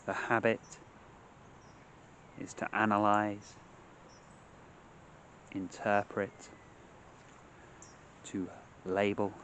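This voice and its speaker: A man guides a meditation in a fairly low voice. He goes very slowly, setting out short, measured phrases with long pauses between them. Faint outdoor nature sounds sit behind him. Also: level tone, a bit bright, slight background noise. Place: outdoors among trees.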